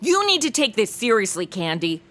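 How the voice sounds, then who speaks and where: High, animated, sassy young woman. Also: moderate pace. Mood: indignant. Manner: gushing, sassy. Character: snarky, excitable modern young woman in old radio shows. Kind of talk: comic narration and banter in modern slang.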